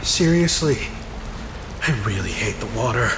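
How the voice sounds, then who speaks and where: A man's voice: medium pitch, animated, measured pace, natural voice. A man playing a brooding, devout warrior, a video game hero talking to himself. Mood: wry, uneasy. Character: brooding, devout male warrior on a holy mission. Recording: clear rain and thunder noise behind.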